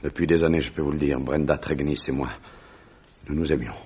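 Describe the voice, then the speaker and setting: A very deep, husky, proud explorer. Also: male voice, fairly even intonation, a little fast, darkish tone. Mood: grave, sorrowful. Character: grave, proud male explorer haunted by lost love. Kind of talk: French radio drama acting. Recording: dull, lo-fi old radio recording.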